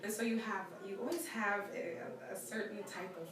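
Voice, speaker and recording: A young woman, a musician with Ghanaian parents, chatting in a live-stream interview. She is lively, laughs often and acts out the people she quotes. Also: mid-range voice, a little fast, a crowd in the background. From United States, Ghanaian parents. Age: young adult. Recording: echoey room, far from the mic, audience around.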